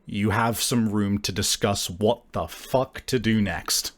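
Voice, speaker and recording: A man with a deep voice narrates a tabletop game. He takes his time, informally handing the scene over to players as game master. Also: slow pace.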